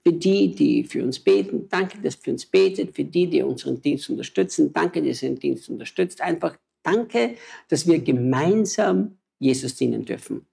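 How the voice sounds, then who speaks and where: A man's voice: a little high, lively intonation, moderate pace, vocal fry, mellow, breathy edge. A man, a missionary preacher and native German speaker, talking with emotional, urgent exhortation in a conversation.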